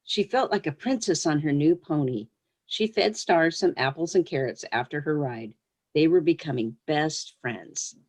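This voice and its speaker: A woman's voice: low-pitched, expressive, normal speed, darkish tone. A woman who is a children's book author, speaking as a guest on a storytime show, reading aloud or chatting casually. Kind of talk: storybook read-aloud, then casual interview answers.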